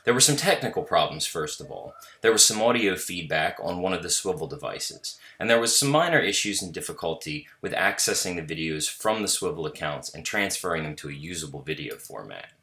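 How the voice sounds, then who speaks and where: Male teacher, very low pitch, bright forceful tone, loud voice, measured self-critical voice-over. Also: lively intonation. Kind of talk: reflective voice-over narration.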